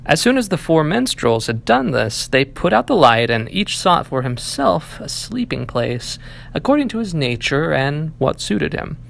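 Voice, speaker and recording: A man reading a fairy tale aloud as an animated audiobook narration. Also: fairly high pitch, moderate pace, gravelly. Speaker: library staff reading aloud.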